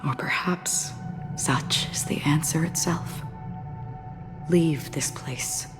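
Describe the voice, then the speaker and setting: Soft solemn game guide lady, deep voice, really slow and breathy, sad and grave. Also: in a whisper, over music. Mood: solemn, resolute. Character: solemn, melancholic woman guide at the edge of death. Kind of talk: scripted game dialogue.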